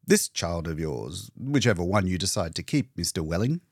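A man's voice: low voice, animated, normal speed, no room sound, studio quality. A man voicing an elderly, self-assured male doctor who lectures in expansive, emphatic speeches for an audiobook. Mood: grand, optimistic. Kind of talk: audiobook character dialogue.

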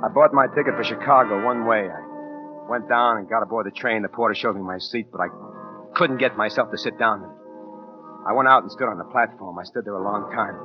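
An actor playing a brooding, tender-hearted drifter who narrates his past in a halting, plain-spoken 1940s radio drama style. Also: on the low side, close to an everyday voice. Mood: troubled, regretful. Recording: muffled vintage 1940s radio, music behind.

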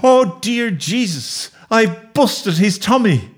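A man's voice: high voice, big pitch swings, slow, pitched above the performer's own voice, heavily acted. A man voicing a distraught, guilt-stricken young boy in a melodramatic, wailing way for a comic story. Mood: anguished, pleading.

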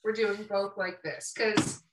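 A woman's voice: mid-range voice, little pitch movement, takes it slowly, roomy sound, far from the mic, noisy background. A woman hosting a casual podcast, playful, rambling and sweary, sounding a little tipsy. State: tipsy from drinking. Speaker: podcast host. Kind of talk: casual, joking podcast chat with sponsor reads.

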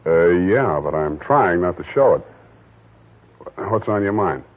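A wisecracking hard-boiled private eye. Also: male voice, low-pitched, animated, measured pace, narrow, tinny audio. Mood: wry. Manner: dry, clipped wisecracks. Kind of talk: 1940s radio acting. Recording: scratchy 1940s radio broadcast with music.

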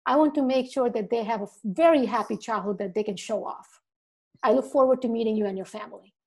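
Middle-aged woman, dental marketing coach, from India via the Virgin Islands; sincere, fairly high-pitched. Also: fairly quick, darkish tone. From India, raised in the U.S. Virgin Islands. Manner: earnest, speaks from the heart, acts out quoted dialogue. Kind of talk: casual podcast conversation.

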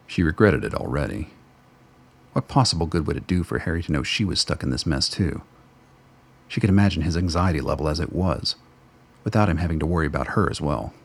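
A man reading the narration of a radio play in a measured, wry storytelling manner. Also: rumbling low, expressive, creaky, husky. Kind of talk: scripted narration.

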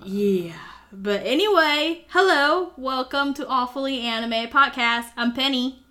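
A woman's voice: sits high, unhurried, sharp tone. A young woman from Thailand, a native Thai speaker, co-hosting a podcast in casual chat, giggling often and using many fillers. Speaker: podcast co-host.

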